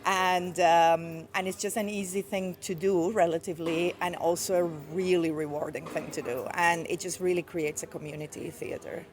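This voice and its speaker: Farsi-native woman from Iran, lowish voice, reflective interview guest, faint ambience around. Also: steady tone, normal speed, hardly any pauses, slight background noise. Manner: reflective, with soft fillers and light laughs. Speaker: guest poet. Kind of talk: casual interview. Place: outdoors outside a bookshop.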